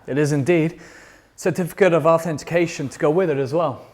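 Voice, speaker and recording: An actor playing an easily exasperated slacker rock musician in a sitcom, with a wry, conversational delivery. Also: male voice, on the high side, brisk, lightly acted. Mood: matter-of-fact. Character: exasperated sitcom slacker rocker who works in a music store.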